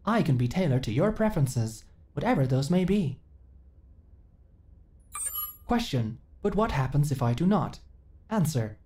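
A woman playing a female companion android in an ASMR audio roleplay, speaking in a stilted, literal, formal way, earnest and eager to serve. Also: low voice. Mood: flat, procedural. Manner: stilted, formal, literal, emotionally flat delivery.

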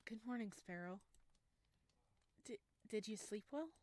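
A woman voicing a sweet, shy, eager male forest creature in a visual novel, gently and with hesitant stammers. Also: mid-range voice, animated, unhurried, no echo, lightly acted. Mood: gentle, shy. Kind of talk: visual novel character read-aloud.

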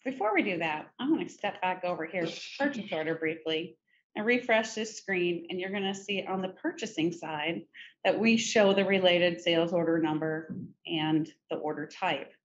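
A middle-aged woman presenting a software demo in a conversational, informal step-by-step tutorial style. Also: on the low side, moderate pace. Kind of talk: conversational screen-share tutorial. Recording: muffled, noisy screen-recording audio.

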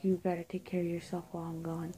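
Woman playing a stern, hushed witch mother. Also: lowish voice, monotone, fast talker, a little hiss or hum, lightly acted, rough recording. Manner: hushed, curt, scolding.

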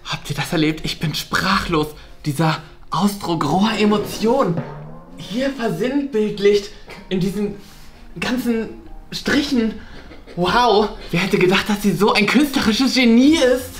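A woman's voice: mid-range voice, a little slow, faint music behind, lightly acted. A woman playing an airy, artistic male teacher in a comedy sketch, full of theatrical wonder. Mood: awed, dreamy.

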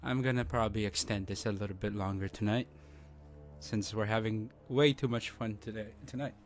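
A man's voice: lowish voice, steady tone, a little fast, soft background music. A man with a Filipino accent, casually chatting with teammates while streaming a game from home on a desk mic. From Philippines. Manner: laid-back, chuckling, chatty with teammates. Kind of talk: casual gaming stream chat. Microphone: desk microphone.

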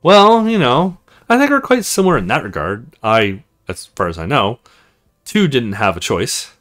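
A man's voice: medium pitch, very animated, normal speed, a bit bright, faint music behind. A man voicing an awkward, earnest young male recruit in a visual novel, hesitant and self-conscious.

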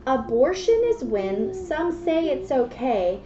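Lively high-voiced woman talking to children. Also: takes it slowly. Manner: simple child-directed explaining with frequent check-in questions. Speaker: mother and children's read-aloud presenter. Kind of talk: reading a picture book aloud and chatting to a small child. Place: small classroom, indoors. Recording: muffled, echoey webcam audio with noise.

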